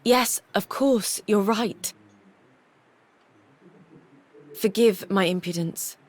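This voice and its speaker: Earnest, courteous warrior spirit. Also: female voice, medium pitch, slow pace. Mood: deferential. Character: earnest, compassionate, deferential warrior spirit serving a goddess. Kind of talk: video game cutscene acting.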